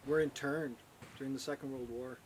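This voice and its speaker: A man's voice: mid-range voice, fairly even intonation, a little fast, a little hiss or hum, low-quality recording. A laid-back man on a casual podcast, sharing bits of news and trivia. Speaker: podcast co-host. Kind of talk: casual chat.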